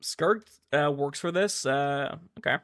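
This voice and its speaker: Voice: medium pitch, takes it slowly, fairly bright tone, dry recording. A game streamer giving casual live commentary in an easygoing way, mumbling reassurances while playing. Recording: game music behind the voice. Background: video game music.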